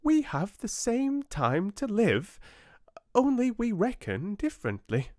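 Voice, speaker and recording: A tiny, joyous storybook mayfly. The voice is pitched far up and swoops about with innocent wonder and eagerness, recorded dry. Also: male voice, huge pitch swings, slow pace, darkish tone, no room sound, exaggerated voice. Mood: curious, merry. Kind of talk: storybook character voice.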